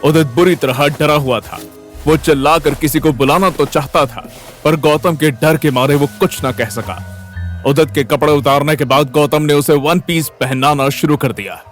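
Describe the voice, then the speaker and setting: Slightly high-voiced male story narrator. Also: normal speed, soft background music. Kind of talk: scripted audio story narration.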